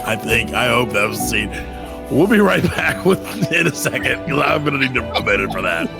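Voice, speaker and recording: Gravelly-voiced man hosting a comedy podcast. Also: medium pitch, sing-song, fairly quick, over music. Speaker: podcast host. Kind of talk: casual comedy podcast chat.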